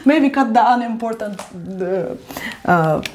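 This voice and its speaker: A woman in her thirties, a native Arabic speaker, teaching a lively whiteboard lesson. She repeats words slowly and stresses them. She speaks into a lapel mic in a bright indoor room. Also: fairly high pitch.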